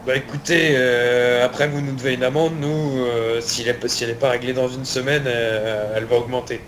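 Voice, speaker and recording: A man's voice: mid-range voice, flat delivery, brisk, a bit bright, a little hiss or hum, natural voice. A man playing a stern but casual official demanding payment of a fine in online roleplay, hesitant and repetitive. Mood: admonishing.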